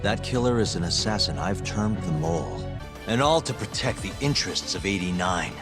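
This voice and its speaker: An actor in an English game dub playing a wry, weary lawyer turned detective. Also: male voice, low-pitched, expressive, normal speed, music in the background. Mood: confident, assertive. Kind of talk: dubbed video game cutscene acting.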